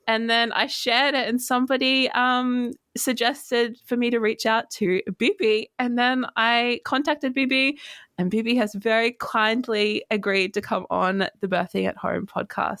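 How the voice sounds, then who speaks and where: A woman's voice: on the high side, a bit bright. A woman, hosting a podcast, enthusiastic and filling gaps with "yeah". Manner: enthusiastic, many fillers and "yeah". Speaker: podcast host. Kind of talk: scripted intro then casual interview.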